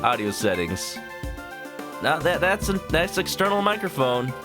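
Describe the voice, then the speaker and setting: A man streams a video game, commenting playfully and reading the on-screen text aloud. His voice is lively and animated. Game music plays clearly behind him. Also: on the high side, unhurried. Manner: playful, often reads game text aloud and shouts catchphrases. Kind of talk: casual gaming commentary.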